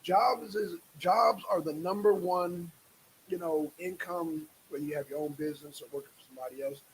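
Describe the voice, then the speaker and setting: A man's voice: high-pitched, normal speed, slight background noise, low-quality recording. A man hosting a casual live stream, speaking in a repetitive, emphatic and exasperated way.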